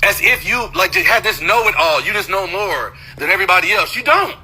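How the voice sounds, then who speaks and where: A man with a high, very bright and forceful voice argues on a recorded phone call. He is confrontational and swears freely. He hammers the same points repeatedly. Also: animated, a little fast, slightly rough. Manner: confrontational, repetitive, profane. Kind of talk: heated argument on a phone call.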